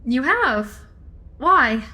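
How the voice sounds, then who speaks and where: A woman's voice: sits high, sing-song, drawn-out words, pushed and bright, full character voice. An actress playing a curious young woman guest in an audio drama, polite, questioning and direct. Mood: curious, wary.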